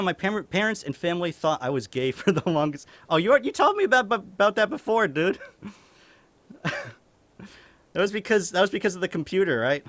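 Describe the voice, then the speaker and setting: A man's voice: a little high, a bit bright, no room sound. A young man with a light Maine accent, a streamer casually answering chat questions at home, chuckling often and swearing casually. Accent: light Maine (New England).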